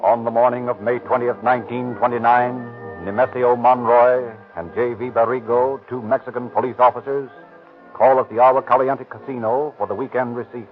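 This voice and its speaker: A man's voice: mid-range voice, little pitch movement, brisk, narrow, tinny audio, soft background music. American male narrator on vintage radio. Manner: formal, dramatic storytelling. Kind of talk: 1930s radio scripted narration. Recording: thin, band-limited 1930s radio broadcast.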